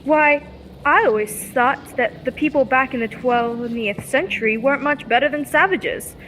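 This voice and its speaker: A woman's voice: high-pitched, normal speed, a bit bright, rough recording. A young woman playing a curious, admiring assistant to a scientist in an old-time radio serial. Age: teenager or young adult. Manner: inquisitive, eager. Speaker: student actor. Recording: faint background noise.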